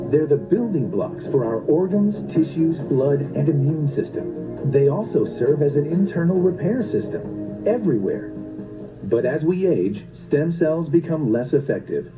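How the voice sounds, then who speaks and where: Male ad narrator, slightly high voice, persuasive scripted read over a clear music bed. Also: low-quality recording. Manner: smooth, persuasive sales delivery.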